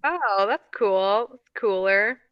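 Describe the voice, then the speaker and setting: A woman's voice: medium pitch, expressive, slow, clean tone. A woman chatting casually as a podcast co-host over an online call, informal and full of exclamations. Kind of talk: casual chat. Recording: dull, muffled online call audio.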